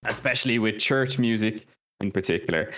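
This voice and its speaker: A man's voice: lowish voice, a little fast, fairly bright tone. A man co-hosting a music history podcast, talking casually through scripted notes and joking about his own mispronunciations. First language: Irish and English speaker. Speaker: podcast co-host.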